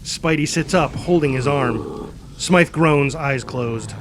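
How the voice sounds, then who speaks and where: A man's voice: medium pitch, very animated, slow pace, gravelly, slight background noise. A man reading screenplay stage directions as a dramatic scripted narrator.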